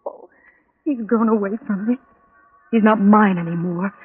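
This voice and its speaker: An actress playing a desperate, lovesick young woman in a 1940s radio drama, with a halting, pleading, melodramatic delivery. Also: mid-range voice, slightly rough, natural voice. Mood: anguished. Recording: tinny band-limited old radio recording.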